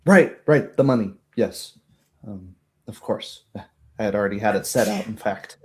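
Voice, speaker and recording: Casting: man as worried noblewoman of a fantasy game, mellow and dark in tone, formal, halting, urgent. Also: fairly low pitch, a little hiss or hum, lightly acted. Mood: flustered. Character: anxious, proper noblewoman baroness, worried for her town.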